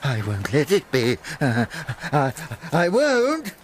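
A frail, obsessive old gentleman in a radio drama. The voice is very high and swoops about slowly, stammering and fretful, with some noise behind it. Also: huge pitch swings, full character voice. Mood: distraught, stubborn.

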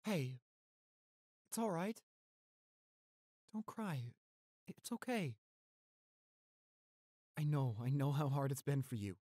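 A young man acting an anxious, devoted boyfriend in a roleplay audio, halting and stammering as he pleads. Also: on the high side, big pitch swings, mellow, no echo, clean studio sound, exaggerated voice. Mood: tender, pleading.

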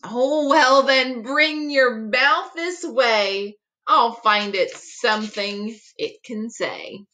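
Woman reading as bright, teasing storybook fox. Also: on the high side, extremely slow, hardly any pauses, exaggerated voice. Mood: coaxing. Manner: coaxing, playful, pushy.

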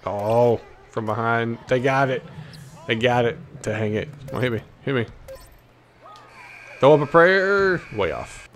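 A man's voice: mid-range voice, animated, measured pace, pauses often, fairly bright tone. A man giving casual, slangy gameplay commentary, cocky and playful with plenty of trash talk. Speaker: gaming video host.

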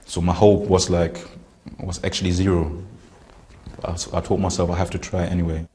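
A man, recounting events haltingly in an interview and searching for words. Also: deep voice, flat delivery, mellow. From Germany. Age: 20s. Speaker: interviewee, former militant. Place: indoor room with barred windows.

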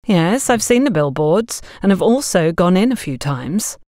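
A woman's voice: lowish voice, sing-song, normal speed, dark tone, no echo, clean studio sound. A woman voicing a courteous, guarded shopkeeper in an audio story, with a restrained manner. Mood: guarded, polite. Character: polite, guarded woman shopkeeper, a worried mother.

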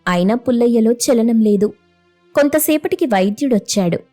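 A woman's voice: on the high side, expressive, normal speed, warm tone, soft background music. A woman narrating a scripted moral story as a storyteller.

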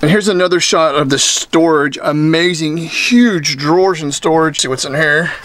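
A man's voice: a little high, normal speed, a bit bright, no room sound. A man talks like a vlogger showing someone around. He is enthusiastic and folksy, commenting off the cuff with plenty of slang, recorded on a handheld camera in a small interior. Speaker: vlogger giving a vehicle walkthrough. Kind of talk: casual, off-the-cuff tour commentary. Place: mostly inside a small cluttered motorhome.

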